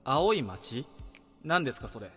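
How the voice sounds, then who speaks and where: An eager young office junior. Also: male voice, mid-range voice, sing-song, unhurried, bright, forceful tone, breathy edge, soft background music, heavily acted. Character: earnest young male office junior, former baseball player. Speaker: junior coworker. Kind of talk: radio drama acting.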